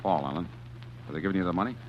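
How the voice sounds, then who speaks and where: An actor playing a man who narrates his own crime in a 1940s radio drama, tense and halting. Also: low-pitched, slight background noise, natural voice. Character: male narrator who turns killer, jittery. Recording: dull old radio recording with music.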